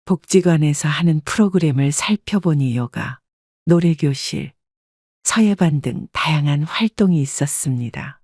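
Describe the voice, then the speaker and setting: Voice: mid-range voice, expressive, normal speed, darkish tone, no room sound, studio quality. A narrator reading a scripted first-person story in a composed storytelling manner.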